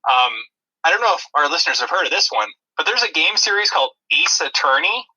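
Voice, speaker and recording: A man's voice: fairly high pitch, expressive, fast, pushed and bright, husky, low-quality recording. A man co-hosting a podcast, casually explaining things in a rambling, dryly humorous way over a thin, radio-like connection. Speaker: podcast co-host. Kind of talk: casual chat about video-game movies.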